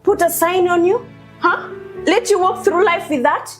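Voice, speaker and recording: A woman's voice: extremely high pitch, moderate pace, faint music behind. An actress playing a controlling mother who scolds and defends herself to her teenage daughter. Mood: scolding, defensive. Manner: dismissive, scolding.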